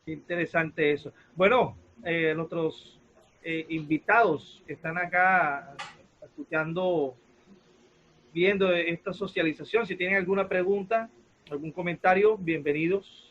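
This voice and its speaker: A man's voice: fairly high pitch, a little slow, a bit bright. A man moderating an online discussion in a polite, informal way. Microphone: video call. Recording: dull noisy online call.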